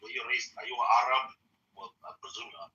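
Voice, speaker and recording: Fast-talking man, probing questions, roomy dull call audio. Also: medium pitch, flat delivery, bright, forceful tone, recorded from a distance.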